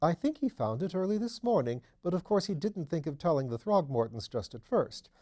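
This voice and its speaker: A man's voice: a little high, very animated, a little fast, darkish tone, pitched above the performer's own voice. A man voicing an older dowager duchess in an audiobook, genteel, chatty and kindly fussing. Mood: gossipy, genteel. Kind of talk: audiobook character dialogue.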